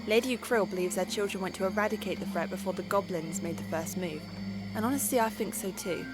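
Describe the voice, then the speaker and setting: Casting: actress as female half-elf party scout, practical and plain-spoken, medium pitch, music under the fantasy dialogue. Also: fast, lightly acted. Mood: serious. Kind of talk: fantasy audio drama acting.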